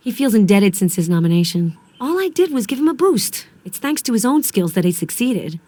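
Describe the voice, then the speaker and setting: An actress playing a composed, determined woman investigator in a video game, speaking in a measured, matter-of-fact way. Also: mid-range voice, very animated, dark tone, no room sound. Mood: composed, resolute. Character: determined, composed female federal investigator in the field.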